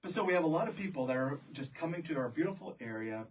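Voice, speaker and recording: An American man with a husky voice, hosting a casual conversational interview in a chatty, joking way. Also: on the high side, animated, fast, a little hiss or hum. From Florida, United States. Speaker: podcast host. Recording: distant microphone.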